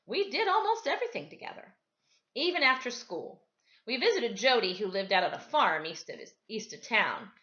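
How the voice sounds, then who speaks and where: A woman reading a children's story aloud in an animated, expressive way. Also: a little high, moderate pace, muffled recording. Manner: animated, dramatizes dialogue. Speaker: storybook reader, teacher.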